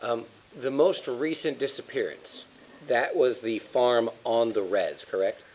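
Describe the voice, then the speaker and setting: A man's voice: mid-range voice, measured pace, a little mellow. A man playing a tabletop game, casually and tentatively thinking aloud as he talks with the group. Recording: muffled home recording with faint hiss.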